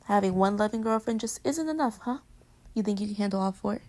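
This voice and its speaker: A woman's voice: medium pitch, lively intonation, brisk, mellow, natural voice. A woman playing a playful, clingy young girlfriend in an intimate pillow-talk roleplay audio, teasing and affectionate as she rambles between murmurs and exclamations.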